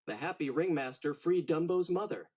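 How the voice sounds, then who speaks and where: A man narrating a children's storybook in an expressive, dramatic read-aloud style. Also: on the high side, normal speed.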